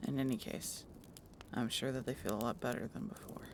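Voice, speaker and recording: A woman playing a grumpy, distrustful female harpy in an audio roleplay, curt and sarcastic with a gravelly, creaky voice. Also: a bass voice, steady tone, a little fast, background noise. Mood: gruff.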